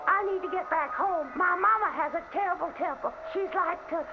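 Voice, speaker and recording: A woman's voice: extremely high pitch, a little fast, phone-quality sound. A woman playing a prim, indignant young village girl in a radio ghost tale, scolding in melodramatic old-fashioned phrases. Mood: indignant, anxious. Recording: band-limited old radio sound, music behind.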